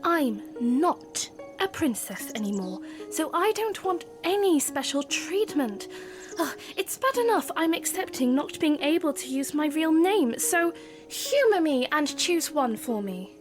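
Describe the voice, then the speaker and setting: A woman playing a playful, earnest young former princess in a fan audio drama, with formal, expressive phrasing. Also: sits high, measured pace, over music. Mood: insistent. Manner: expressive, formal wording, teasing, hesitant when flustered.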